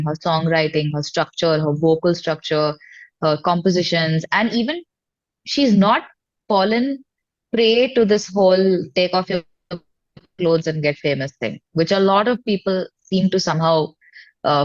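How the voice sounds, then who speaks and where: A woman's voice: on the low side, highs cut off. A woman with an Indian accent talking informally and effusively as a guest in a casual interview. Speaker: singer-songwriter guest.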